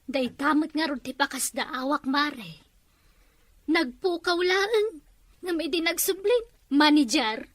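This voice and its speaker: A woman's voice: high voice, lively intonation, measured pace, long pauses, fairly bright tone, no room sound. A woman playing a gossiping Ilocano neighbor. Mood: gossipy. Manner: chatty, gossipy. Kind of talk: comic drama acting.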